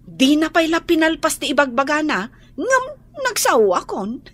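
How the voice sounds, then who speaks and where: An actress with an Ilocano accent playing a cheeky, talkative young housemaid in a radio drama. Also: female voice, high-pitched, very animated, moderate pace, fairly bright tone. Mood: gossiping.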